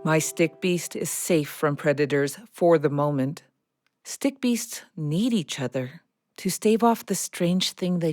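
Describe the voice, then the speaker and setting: A woman playing a watchful, solitary snake in an audio play, speaking in a measured, deliberate way. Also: sits low, a little mellow, no room sound, clean studio sound. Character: slow, watchful female garter snake, solitary and protective. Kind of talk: audio play acting, narrating.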